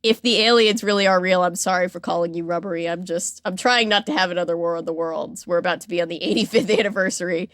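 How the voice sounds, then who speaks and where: A woman's voice: fairly low pitch, fast talker, hardly any pauses, no echo, studio quality. An American woman hosting a podcast, chatting casually as she explains things and acts out mock quotes along the way. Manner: animated retelling with mock quotes and filler "like". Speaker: podcast host. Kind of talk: casual explanatory storytelling chat.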